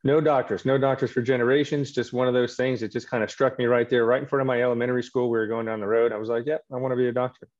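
A man in his fifties from Canada, a surgeon guest in an interview, telling his story in a relaxed, rambling way with many fillers and restarts. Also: medium pitch, rapid-fire, few pauses, dull audio.

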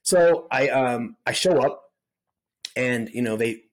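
A man's voice: mid-range voice, takes it slowly. A middle-aged man telling stories as a podcast guest in a casual, laid-back way, often saying dude and man. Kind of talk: casual storytelling interview.

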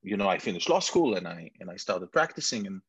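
Israeli man in his forties, husky and a touch low, dry close sound, rambling self-mocking storyteller. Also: very animated, fast talker, a bit bright, dry recording. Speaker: guest entrepreneur. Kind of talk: casual interview chat. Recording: dull muffled lo-fi sound.

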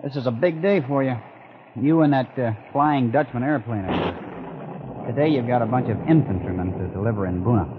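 Guy doing young american army dispatcher, slangy, brash, middle pitch, dull old 1940s radio drama. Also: brisk, natural voice. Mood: needling. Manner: slangy, needling. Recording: dull 1940s radio recording, faint noise.

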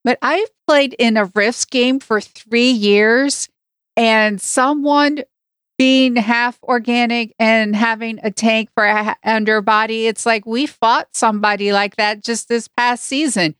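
Female podcast panelist, unhurried and clear-voiced, casual review chat. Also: on the high side, fairly even intonation, a bit bright, no room sound, clean studio sound.

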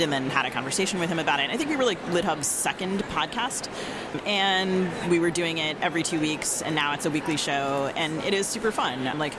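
A woman's voice: deep voice, background noise. A middle-aged American woman chatting casually as an interview guest, with a wry, self-deprecating manner. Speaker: guest author.

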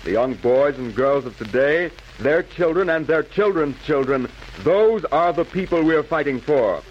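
A man's voice: on the high side, lively intonation, measured pace. A man playing a solemn patriotic orator declaiming a wartime speech in 1940s radio style. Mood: solemn, fervent. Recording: dull, noisy old 1940s radio recording.

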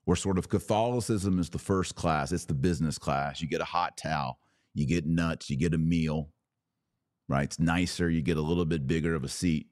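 A man with a slightly raspy voice, worn from hours of talking, hosting a casual religious commentary podcast in short, emphatic phrases. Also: a bass voice, normal speed, darkish tone, dry recording, studio quality. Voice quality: a little raspy. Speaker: podcast host.

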